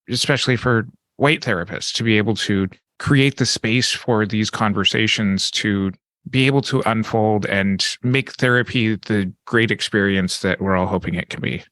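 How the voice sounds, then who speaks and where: Man podcast host, low dry voice. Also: fairly bright tone, dry recording. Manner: rambling, thinking aloud. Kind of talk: casual interview question.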